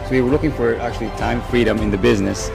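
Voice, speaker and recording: Gravelly-voiced man giving an earnest testimonial. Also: medium pitch, steady tone, rapid-fire. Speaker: online business owner giving a testimonial. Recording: background music.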